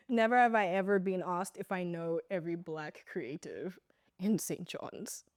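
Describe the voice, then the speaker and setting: A woman's voice: lowish voice, a little slow, no room sound, clean studio sound. A woman hosting a podcast in casual chat, emphatic and blunt, laughing often. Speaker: podcast host.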